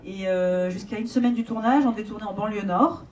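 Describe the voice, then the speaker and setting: A woman's voice: mid-range voice, fairly quick, darkish tone, far from the mic. Hesitant woman director in a cinema hall. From France. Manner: hesitant, many fillers and restarts, repeats questions aloud. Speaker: film director answering audience questions. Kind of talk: casual Q&A after a screening.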